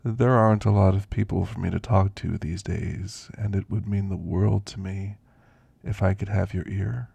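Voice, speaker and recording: A man playing a kindly elderly werewolf in an ASMR roleplay, with a gravelly voice and a folksy, gently chatty manner. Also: sits low, steady tone, normal speed, no echo, exaggerated voice. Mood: gentle, friendly. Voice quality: gravelly, creaky. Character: kindly, frail elderly male werewolf, teasing and grandfatherly. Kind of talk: ASMR roleplay, intimate character monologue.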